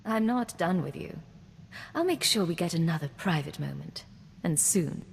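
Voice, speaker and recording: An actress playing a poised, wry imperial secret agent in a video game, direct and teasing in manner. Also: female voice, on the low side, expressive, normal speed, creaky, in a large hall, slight background noise. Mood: tender, flirtatious. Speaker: player character in a video game. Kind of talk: scripted game dialogue.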